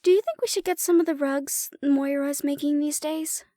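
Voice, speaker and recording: A woman playing an affectionate, teasing human partner of a dragon in an intimate roleplay audio drama, speaking softly and unhurriedly with pauses. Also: high-pitched, steady tone, dry recording, clean studio sound, lightly acted. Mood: cheerful, chatty.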